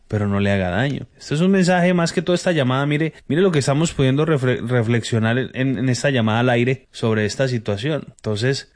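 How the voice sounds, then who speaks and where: A man gives spiritual advice as a radio host on a live call-in. His pitch is middling, and he speaks familiarly, like to a friend, repeating points and asking pointed rhetorical questions. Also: a little mellow. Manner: addresses listener familiarly as friend, rhetorical questions, emphatic repetition. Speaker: radio host, card reader and folk healer.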